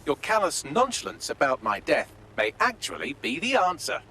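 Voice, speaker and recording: A man's voice: fairly high pitch, wildly swooping pitch, moderate pace, bright, forceful tone, slight background noise, exaggerated voice. A man with a British accent playing a dry, witty artificial intelligence assistant in a video game, precise and formal. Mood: dry, urgent.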